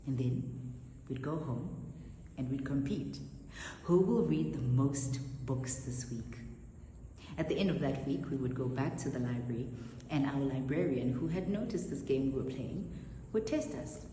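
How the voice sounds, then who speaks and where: A woman storyteller telling a personal story in an expressive, measured spoken style. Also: extremely low pitch. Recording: echoey reverberant room, faint noise.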